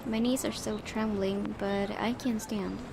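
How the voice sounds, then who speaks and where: Woman gamer with clear background noise. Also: mid-range voice, fairly even intonation, measured pace. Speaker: gaming video host. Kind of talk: casual commentary and dramatic read-aloud of a visual novel. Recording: clearly noisy home recording.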